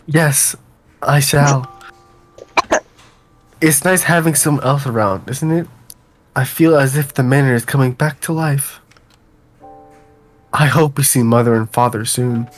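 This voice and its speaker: A man's voice: medium pitch, unhurried, pauses often, close to an everyday voice. A troubled young noblewoman from a gothic visual novel, voiced by a man. She speaks politely, as someone raised in a manor would, with music playing behind her. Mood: gentle. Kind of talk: dramatic read of visual novel dialogue.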